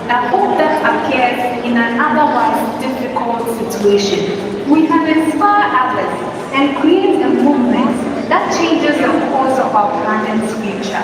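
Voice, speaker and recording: A woman's voice: fairly high pitch, expressive, measured pace. A young woman, a pageant contestant, delivering a prepared speech in an uplifting, declamatory way. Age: young adult. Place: large indoor events hall. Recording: echoey hall from far off, crowd noise.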